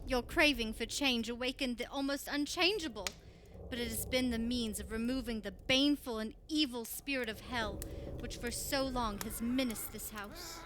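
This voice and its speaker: A woman's voice: fairly high pitch, moderate pace, slight background noise, natural voice. A woman playing a solemn, pious character in a radio play, speaking in a grave, formal, declamatory manner. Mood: solemn relief. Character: solemn, pious woman warning against evil.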